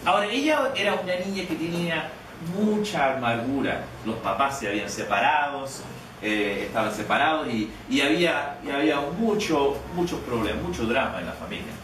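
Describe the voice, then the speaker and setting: A man's voice: mid-range voice, lively intonation, moderate pace. A man preaching a sermon, telling a personal story in a conversational way with many restarts and repeated words. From Canada. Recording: echoey, distant, noisy room.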